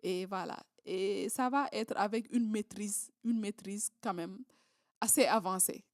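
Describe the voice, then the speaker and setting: Woman from southern senegal, Creole and Wolof as her first languages, talks slowly with lots of pauses, dry sound. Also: medium pitch, no echo. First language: Creole, Diola and Wolof. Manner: hesitant, many fillers and restarts. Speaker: guest. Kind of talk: casual interview conversation.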